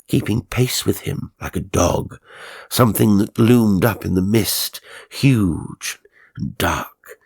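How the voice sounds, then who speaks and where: A man narrating a horror story in a measured, ominous, theatrical way, with a gravelly, husky voice. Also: on the low side, darkish tone, no room sound, clean studio sound. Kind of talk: dramatic scripted horror narration. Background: faint music.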